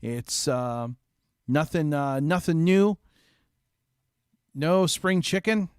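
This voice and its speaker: Casting: male game-stream host, slightly high voice, informal and jokey chatter, close dry microphone. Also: animated, slow pace, clean tone, no room sound. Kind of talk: casual game stream chat.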